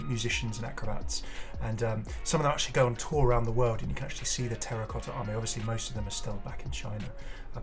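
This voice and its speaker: A man hosting a gaming video, giving casual, chatty and enthusiastic commentary with rambling asides. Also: mid-range voice. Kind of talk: casual game showcase commentary. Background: music.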